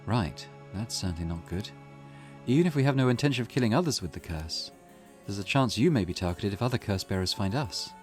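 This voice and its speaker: Casting: male reader as sensible, protective teen schoolgirl in a visual novel, earnest, measured, expressive pitch, music underneath. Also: close to an everyday voice. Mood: thoughtful warning. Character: level-headed, cautious teenage schoolgirl, earnest and protective. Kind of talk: character voice reading a visual novel. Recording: music in the background.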